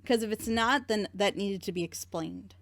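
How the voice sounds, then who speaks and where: A woman's voice: mid-range voice, normal speed. A woman hosting a casual group discussion, talkative and opinionated as she gives her critique. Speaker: host of a group reaction show.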